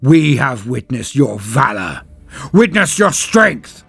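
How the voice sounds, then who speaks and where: A man voicing a valiant space marine champion in a sci-fi audiobook, giving a solemn, rousing battle speech in a grand, drawn-out, declamatory way. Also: mid-range voice, sing-song, creaky, a bit bright, slightly rough, no room sound, soft background music, full character voice. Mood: proud, solemn. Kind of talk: dramatic audiobook character speech.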